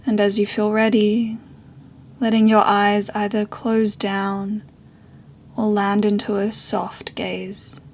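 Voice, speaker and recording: A woman leading a guided meditation as a yoga teacher, speaking softly and breathily in a soothing way with long pauses. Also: mid-range voice, level tone.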